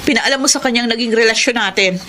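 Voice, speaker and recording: A woman's voice: a little high, fast, bright, forceful tone, slight background noise. A Filipino woman playing a troubled young woman, firm yet tender, in an emotional radio drama. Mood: distressed, resisting. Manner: emotional, melodramatic. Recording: music behind the voice. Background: music.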